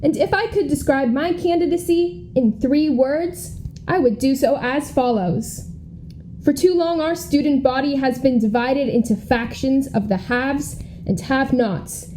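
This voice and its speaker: A woman's voice: high voice, unhurried, dark tone, slight background noise, poor audio. A young American woman playing an earnest, polished schoolgirl running for student president, speaking like a formal politician in a comedy radio play. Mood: earnest, statesmanlike. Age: young adult. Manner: formal, rhetorical politician delivery.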